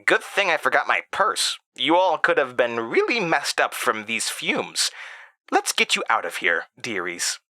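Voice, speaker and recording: A man's voice: medium pitch, very animated, a little slow, bright, forceful tone, no echo, exaggerated voice. A man voicing a creepy older female teacher, condescending and falsely sweet with menace underneath, in a horror audio drama. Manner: condescending, muttering.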